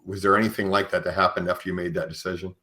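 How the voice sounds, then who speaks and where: Deep-voiced male podcast host. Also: flat delivery, pushed and bright. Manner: halting, searching for words. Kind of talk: conversational interviewing with personal commentary.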